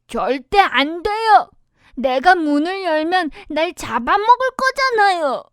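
Storybook piglet: woman playing lazy little brother pig, extremely high squeak, slow, whiny drawl. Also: sing-song, very noisy, full character voice. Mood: frightened, defiant. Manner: whiny, drawn-out. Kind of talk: children's storybook character voice.

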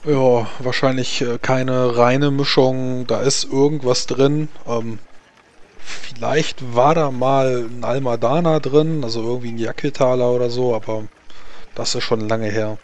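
A man's voice: mid-range voice, fairly even intonation, unhurried. A man improvising narration as the game master of a tabletop role-playing game, hesitantly searching for words.

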